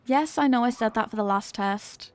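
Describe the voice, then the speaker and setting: A woman's voice: a little high, normal speed, no echo, natural voice. A woman playing an evasive, flustered popular cheerleader being tutored, in an acted ASMR roleplay with a hesitant, defensive delivery. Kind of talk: ASMR roleplay, acted one-sided conversation.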